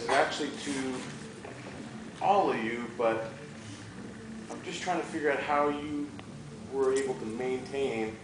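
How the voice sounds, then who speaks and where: A young man with an average-pitched voice puts an effusive, admiring question from a cinema audience. He sounds distant, with very strong room echo. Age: young adult. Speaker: audience member asking a question. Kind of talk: live Q&A question. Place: movie theatre audience. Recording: boomy echoing theatre, noisy, distant.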